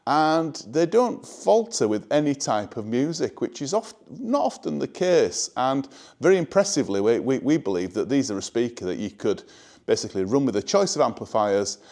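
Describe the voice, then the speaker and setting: A man's voice: mid-range voice, big pitch swings, normal speed, a little mellow. Man presenting a product. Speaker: hi-fi showroom presenter. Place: indoor hi-fi showroom.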